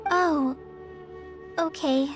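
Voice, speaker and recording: A woman's voice: sits high, drawn-out words, faint music behind. A woman playing a shy but eager teenage girl apprentice in a video game, earnest and deferential. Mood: disappointed.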